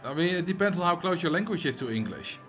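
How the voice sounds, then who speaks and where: A man's voice: a little high, expressive, fast talker, fairly bright tone, faint music behind. A man with a Dutch accent, a streamer chatting casually and answering chat messages while he plays a game. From Netherlands.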